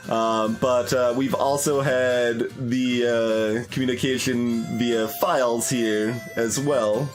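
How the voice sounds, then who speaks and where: A man's voice: medium pitch, fairly even intonation, slow. A man giving casual, analytical game commentary and reading poems aloud, hesitating as he thinks things through. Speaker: video game streamer doing a blind playthrough. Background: soft plucked guitar music.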